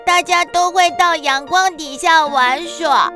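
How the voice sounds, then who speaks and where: A timid childlike darkness who longs for company, in a children's radio drama. The voice is small and high, slow and hesitant, with a plaintive tone over faint music. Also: female voice, pushed and bright, exaggerated voice. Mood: sad. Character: lonely, timid childlike Darkness longing for a friend.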